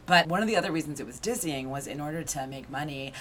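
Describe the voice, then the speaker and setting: A woman in her thirties, an author being interviewed, telling her story in a lively way with frequent restarts and "right?" tags. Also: low-pitched, fast, slight background noise. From Argentina. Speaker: guest, author and education researcher. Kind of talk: conversational interview.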